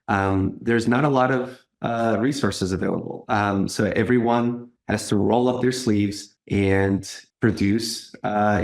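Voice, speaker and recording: A man with a Portuguese accent answering interview questions, pausing often with ums and uhs and repeating words. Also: fairly low pitch. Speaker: marketing executive guest.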